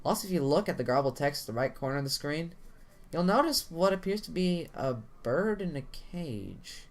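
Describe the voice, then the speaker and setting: A man's voice: a little high, animated, moderate pace. A man narrating a first-person horror story as a dramatic reading, theatrical in delivery with the odd stumble. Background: music under the voice.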